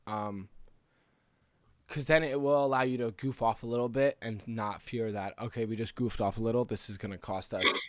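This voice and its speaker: A man's voice: mid-range voice, steady tone, moderate pace, very noisy. A man co-hosting a casual gaming podcast in an earnest, rambling way, close to the microphone. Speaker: podcast co-host.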